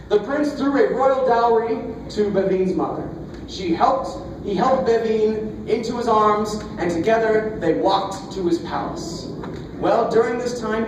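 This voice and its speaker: A man telling a comic fairy tale live at a festival, in a playful, theatrical way with deliberate pauses. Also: high-pitched. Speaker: storyteller. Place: storytelling festival, live performance. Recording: echoey, distant, noisy live festival recording.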